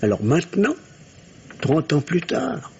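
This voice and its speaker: A muffled, noisy radio drama recording carries a middle-aged family man. His low voice swings widely in pitch, hesitant and nervous, by turns obsequious and sarcastic. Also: wildly swooping pitch, slow, vocal fry, darkish tone, full character voice. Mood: anxious musing. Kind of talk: French radio drama acting.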